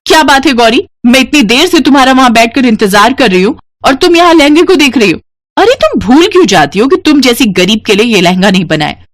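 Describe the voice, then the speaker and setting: A woman's voice: high-pitched, very animated, brisk, pitched above the performer's own voice. A woman voicing a haughty, bossy young rich woman in a moral story, imperious and belittling. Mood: scornful, angry.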